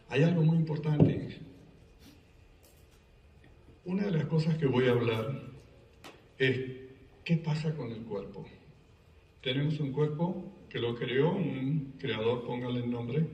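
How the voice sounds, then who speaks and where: A man's voice: medium pitch, lo-fi sound. A man from Argentina with an Argentine Spanish accent, telling personal stories in a conversational way to a live audience and joking with them. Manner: conversational storyteller, jokes with the audience. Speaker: speaker giving a talk. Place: event hall before an audience. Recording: echoey hall, recorded from a distance.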